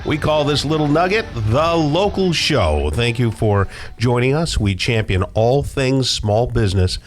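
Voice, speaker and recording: An American man hosts a casual local radio show. His voice sits at a middle pitch and moves up and down in a lively way as he banters in the studio. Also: unhurried. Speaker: radio talk show host.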